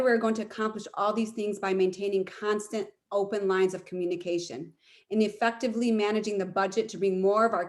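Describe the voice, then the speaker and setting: A woman speaks as a candidate at a forum, with a mid-pitched voice. Her delivery is formal, earnest and measured, leaning on repeated phrases as in a prepared campaign speech. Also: a little mellow. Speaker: mayoral candidate giving closing statement.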